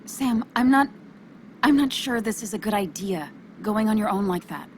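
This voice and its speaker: An actress playing an earnest, principled journalist in a video game drama, speaking in an emotional, pleading way. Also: female voice, mid-range voice, expressive, moderate pace, slight background noise, lightly acted. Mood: wary, trusting. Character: earnest, principled female journalist, anxious but loyal friend.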